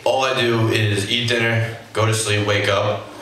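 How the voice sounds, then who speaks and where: A young man with a very low voice rambles through a crude, self-mocking stand-up set. He sounds distant and echoey, recorded from far off in a noisy club. Laughter from the audience carries through. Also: flat delivery, measured pace. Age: young adult. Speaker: stand-up comedian. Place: comedy club stage with audience.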